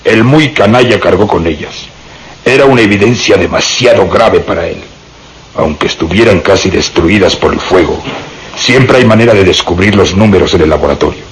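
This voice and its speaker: An actor playing a heavyset, gruff and decisive police inspector in a radio drama, brusque, commanding and easily irritated. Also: male voice, sits low, normal speed, close to an everyday voice. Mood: brisk, commanding. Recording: noisy radio drama recording.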